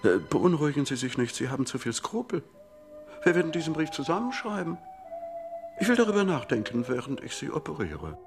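An actor playing a pushy, cheerfully callous surgeon in a German radio play, theatrical and wheedling. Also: male voice, fairly high pitch, sing-song, a little fast, creaky, over music. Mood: breezy insistence. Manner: theatrical, wheedling, brushing doubts aside.

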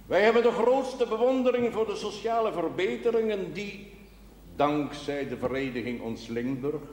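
Solemn slow-speaking pastor in echoing church. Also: male voice, fairly high pitch, darkish tone. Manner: solemn, measured, rhetorical. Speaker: actor in a TV drama series. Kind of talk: formal sermon in television drama. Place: large reverberant indoor space. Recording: echoey church-like reverb with background noise.

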